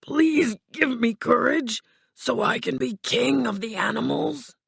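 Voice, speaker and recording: A woman's voice: sits high, measured pace, creaky, a bit bright, breathy edge, no room sound, voice pushed up, heavily acted. A woman voicing a cowardly male lion in a storybook, fearful and plaintive as he longs for courage. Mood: timid pleading.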